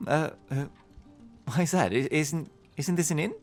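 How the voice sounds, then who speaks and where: A man's voice: on the high side, normal speed, soft background music, close to an everyday voice. A man playing a weary, amiable, easily flustered travelling bookseller in a fantasy audio drama, speaking hesitantly. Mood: bewildered. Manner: hesitant, stammering. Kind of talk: fantasy podcast acting.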